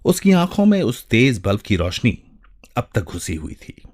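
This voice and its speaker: A man giving a dramatic, measured narration of a short story, with deliberate pauses. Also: medium pitch, sing-song, darkish tone. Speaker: voice-over narrator.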